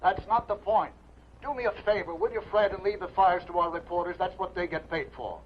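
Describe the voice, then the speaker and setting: A man acts a practical, admonishing newspaper boss. His voice sits high for a man, stern and firm, in a 1950s television drama with a dull, slightly noisy soundtrack. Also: brisk, natural voice. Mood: firm reproof.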